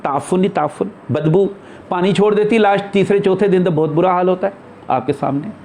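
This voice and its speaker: A man's voice: sits high, a little fast, a little mellow, slight background noise. A man speaking as a spiritual teacher in an informal religious discourse, explaining emphatically and posing rhetorical questions.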